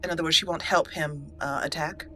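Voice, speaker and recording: A woman hosting a let's play, narrating in a theatrical, deliberate roleplay style with dramatic pauses. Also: sits low, steady tone, sharp tone. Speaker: gaming channel host.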